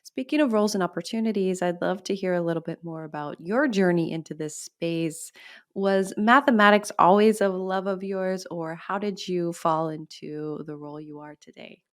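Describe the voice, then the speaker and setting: A woman's voice: lowish voice, normal speed. A woman hosting a podcast, asking interview questions in a friendly, encouraging way. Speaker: podcast host.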